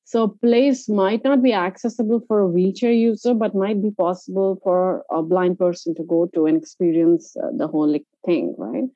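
A woman with an Indian accent giving explanatory, halting conversational answers as an interview guest. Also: lowish voice, warm tone, no echo, highs cut off. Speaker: interview guest, inclusive travel organiser.

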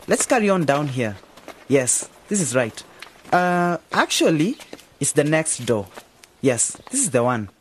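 A man's voice: fairly high pitch, very animated, measured pace, a little hiss or hum. A man playing an eager, informal youngster in a radio drama. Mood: teasing, casual. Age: young adult. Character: lively youngster, keen would-be community broadcaster.

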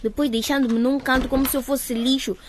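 A woman's voice: high voice, steady tone, brisk, a little hiss or hum, natural voice. An actress playing a hurt, defiant schoolgirl in a radio drama, speaking with emotion and reproach. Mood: hurt, angry. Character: hurt, defiant pregnant schoolgirl standing her ground.

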